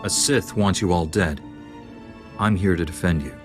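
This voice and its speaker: A stalwart knight of a space fantasy game, voiced by a male actor, grave and resolute. His voice is low and deep, with music clearly playing behind it. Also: moderate pace, natural voice. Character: stalwart, righteous male Jedi knight hero. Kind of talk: video game dialogue acting.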